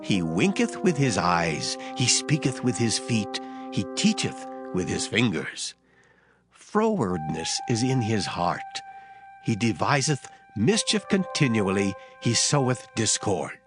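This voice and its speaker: A man's voice: fairly low pitch, very animated, slow. A man narrating a dramatised scripture reading with a solemn, declamatory delivery. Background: music.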